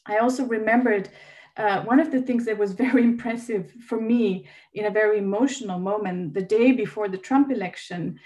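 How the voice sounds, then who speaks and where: A woman's voice: medium pitch, a little fast, a little mellow. A woman from Austria interviewing a guest, speaking hesitantly with many fillers. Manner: hesitant, many ums and uhs. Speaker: interviewer, host. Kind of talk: conversational interview.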